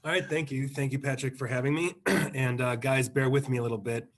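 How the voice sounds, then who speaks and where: A man with a hoarse, fading voice from the flu, explaining technical ideas as a guest expert on a webinar, clearing his throat now and then. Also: mid-range voice, steady tone, a little fast. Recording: remote webinar audio.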